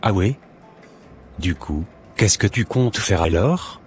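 A man playing a calm, questioning middle-aged husband in a cartoon, with casual delivery. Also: sits low, slow, husky, full character voice. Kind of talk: scripted cartoon dialogue. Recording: music under the voice.

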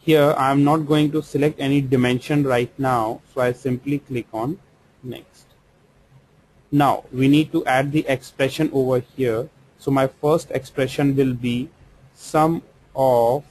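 A man with an Indian English accent talks over a screen recording, guiding the listener through each click in a software lesson. He speaks at an unhurried, deliberate pace in a middle-pitched voice, pausing with small fillers as he explains. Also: rough recording. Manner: slow, step-by-step explaining with fillers. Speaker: software trainer.

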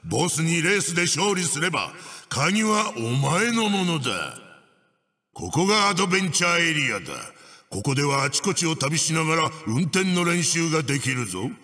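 A man's voice: on the high side, sing-song, normal speed, bright, forceful tone, in a large hall, heavily acted. A man playing an evil wooden mask spirit in a video game, commanding and pompous as he barks out racing instructions. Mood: stern, impatient. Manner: commanding, archaic pompous phrasing. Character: evil wooden witch-doctor mask spirit, bossy and menacing.

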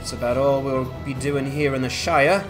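A man's voice: medium pitch, fairly even intonation, moderate pace, music in the background. A man narrating a dramatized video game playthrough. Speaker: gaming channel narrator.

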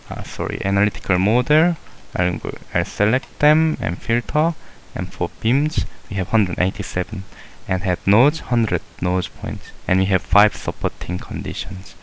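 A man with a very low voice talks slowly through a software tutorial, pausing often with fillers and correcting himself as he clicks along. A faint hiss sits under the recording. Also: animated, creaky, rough recording. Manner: unhurried, hesitant, many fillers and self-corrections. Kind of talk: screen-recorded software walkthrough.

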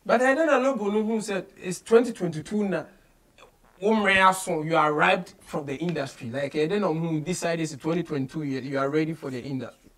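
A man in his thirties with a Ghanaian accent, hosting a casual interview in an animated, emphatic way and switching between English and Twi. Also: a little high, fairly quick. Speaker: radio show host. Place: small radio studio office, indoors.

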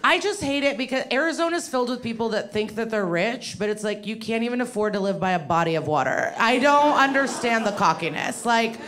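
A woman's voice: a little high, expressive, a little fast, hardly any pauses. A woman in her thirties doing a stand-up comedy set on a handheld mic, rambling and chatty with asides to the audience. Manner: rambling, conversational, crowd-work asides. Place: small dim venue with a live audience. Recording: loud audience laughter. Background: audience laughter.

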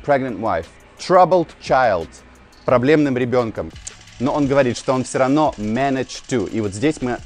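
A man in his thirties, a native Russian speaker, giving an informal English lesson to camera outdoors and slowly repeating key phrases. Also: mid-range voice, darkish tone. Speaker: English teacher, video host. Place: outdoors on a narrow old-town street. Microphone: lapel mic.

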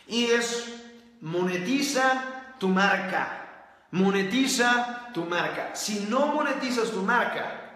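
A man hosting a book-summary series, talking in an energetic motivational style and repeating his key phrases for emphasis. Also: high voice. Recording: very echoey room with long reverb.

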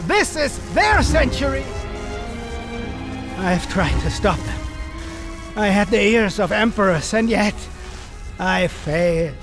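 Fatalistic old scientist from a game, theatrical n grave, really high voice, loud music under it. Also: male voice, very animated, takes it slowly, pauses often, full character voice. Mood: bitter resignation. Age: older. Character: despairing older male inventor, bitter and fatalistic. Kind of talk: dramatic video game cutscene acting. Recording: loud cinematic score behind.

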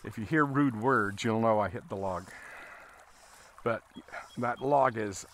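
A man giving a casual, halting fly fishing tutorial as an instructor, talking while he works outdoors. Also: lowish voice, fairly bright tone. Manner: halting, explaining as he casts. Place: outdoors, standing in a river. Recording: outdoor recording with water noise. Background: running water.

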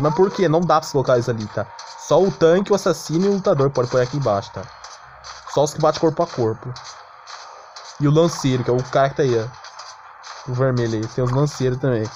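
Fast-talking Brazilian man streaming a game. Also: mid-range voice, a little mellow, dull audio. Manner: slangy, explanatory, asks and answers own questions. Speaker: gaming streamer. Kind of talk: casual live game commentary. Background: game music.

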